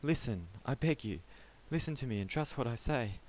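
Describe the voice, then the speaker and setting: A man voicing a panicked, out-of-breath young man who pleads frantically, raised well above his own low voice. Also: animated, moderate pace, darkish tone, natural voice, poor audio. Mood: panicked pleading.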